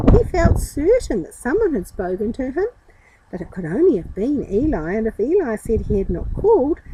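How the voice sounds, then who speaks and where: A woman's voice: a little high, warm tone, a little hiss or hum, rough recording. A woman storyteller reading a children's story expressively, with informal asides.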